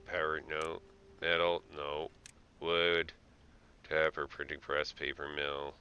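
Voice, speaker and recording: A man's voice: rumbling low, little pitch movement, slow pace, bright, forceful tone, clean tone, lo-fi sound. A man giving casual live gameplay commentary, thinking aloud, muttering to himself and tossing playful asides to the characters. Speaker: streamer playing a game.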